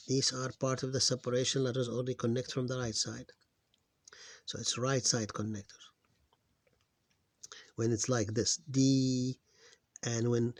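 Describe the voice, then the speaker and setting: A man's voice: mid-range voice, darkish tone, no room sound. A man teaching a phonics lesson, patiently spelling out syllables and correcting himself, his voice tiring. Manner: patient, repeats sounds slowly, self-correcting. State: voice getting tired. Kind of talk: phonics lesson over on-screen writing.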